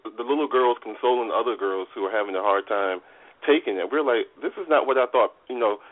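A man's voice: medium pitch, brisk. A man co-hosting a talk show, asking questions with eager, encouraging enthusiasm. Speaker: co-host. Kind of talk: casual radio talk show chat. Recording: tinny phone-like line.

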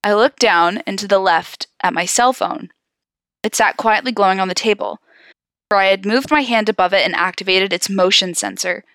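A woman's voice: medium pitch, moderate pace, no room sound. A woman podcast host reading horror stories aloud, with casual, amused asides. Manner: giggly, animated in asides.

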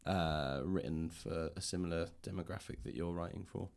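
Male interviewer, low register, casual chat. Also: fairly even intonation, moderate pace, gravelly, darkish tone. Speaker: podcast host and interviewer. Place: indoors in a public library room.

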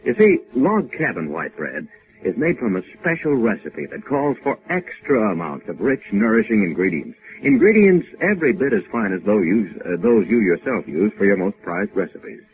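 A man's voice: on the low side, big pitch swings, normal speed. A man reading a scripted 1950s radio commercial as announcer, polished and emphatic. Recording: narrow-band old 1950s radio, faint music.

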